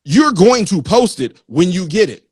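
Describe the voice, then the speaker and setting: A man's voice: high-pitched, very animated, moderate pace, darkish tone. A man hosting a casual live-stream interview, speaking informally with slang and thinking aloud in short repeated phrases. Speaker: interviewer, show host.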